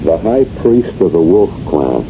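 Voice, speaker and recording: Low-voiced, stubborn detective. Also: male voice, big pitch swings, unhurried. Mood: grave. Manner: firm, insistent. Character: stubborn, grave male police detective. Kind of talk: 1970s radio drama acting. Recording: muffled, rumbling noisy old radio recording.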